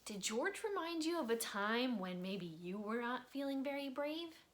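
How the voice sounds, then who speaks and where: A woman reading a picture book aloud for children, expressively, with dramatic pauses and drops into breathy near-whispers for suspense. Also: a little high, big pitch swings, slight background noise. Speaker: volunteer storybook reader. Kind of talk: children's read-aloud storytime narration.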